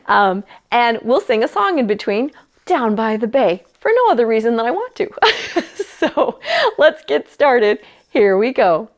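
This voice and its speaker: A woman presenting a children's library storytime, chatting and reading aloud in a playful, enthusiastic way. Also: fairly high pitch.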